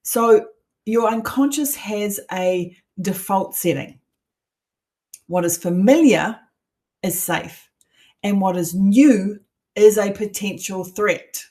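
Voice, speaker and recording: A woman's voice: medium pitch, slow, dark tone. A middle-aged woman with a New Zealand accent, a coach teaching an online masterclass in an emphatic, persuasive way with rhetorical questions.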